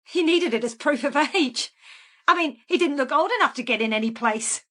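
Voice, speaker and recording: A calculating murderess in a radio crime drama. Her voice sits fairly high, and she recounts what she did in a plain, unrepentant manner, as if it were everyday business. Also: animated, moderate pace, fairly bright tone. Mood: defiant, self-justifying. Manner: matter-of-fact, unrepentant. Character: calculating female killer calmly confessing in a police interview. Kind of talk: naturalistic radio drama acting.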